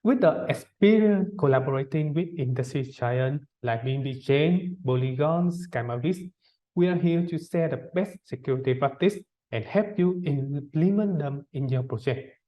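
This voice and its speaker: A man's voice: on the high side, normal speed, muffled recording. Formal man in an echoey room. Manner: formal, scripted delivery. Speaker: business development manager. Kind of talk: prepared presentation.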